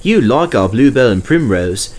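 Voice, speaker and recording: A man's voice: mid-range voice, sing-song, moderate pace, darkish tone. Man as a chatty, earnest old-fashioned engine. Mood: cheerful, confiding. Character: cheerful chatty male vintage engine Stepney, earnest, friendly.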